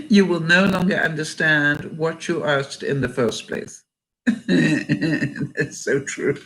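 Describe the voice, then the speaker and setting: Slow, hoarse older Canadian woman. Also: sits low, a bit bright, rough recording. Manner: laughs often at her own jokes. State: hoarse, losing her voice. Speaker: vlogger. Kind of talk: reading jokes aloud in a casual vlog.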